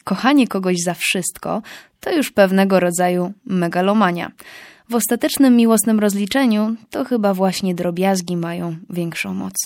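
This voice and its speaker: A woman's voice: mid-range voice, a little fast, a little mellow, no echo, clean studio sound. A young woman presenting music in a scripted, reflective way. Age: young adult. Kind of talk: scripted music show narration.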